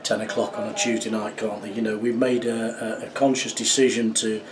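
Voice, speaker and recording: Middle-aged football manager, slightly distant. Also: male voice, lowish voice, flat delivery, background noise. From England. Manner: measured, reflective, many fillers and restarts. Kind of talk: post-match interview. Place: small club office indoors.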